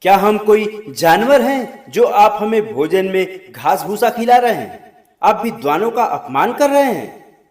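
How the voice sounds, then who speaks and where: A man's voice: high-pitched, sing-song, measured pace, a little mellow, pitched above the performer's own voice. Arrogant scholar in a moral story, male, voiced by a man narrator, easily offended, swinging emphatic intonation, echoey sound. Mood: indignant. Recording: roomy, echoing voice recording.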